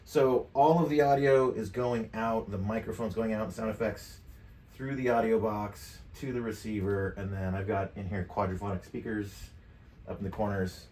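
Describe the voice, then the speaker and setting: A man's voice: fairly low pitch, fairly even intonation, normal speed, a little mellow, a little hiss or hum. A middle-aged American man giving a casual tutorial demonstration. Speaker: tutorial presenter. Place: furnished game room.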